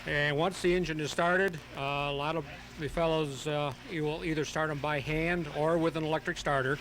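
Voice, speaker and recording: A man being interviewed on radio as a hobbyist, explaining things step by step in a halting, methodical way. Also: on the high side, steady tone, fairly bright tone. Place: outdoors at a flying field. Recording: noisy home tape of outdoor radio broadcast.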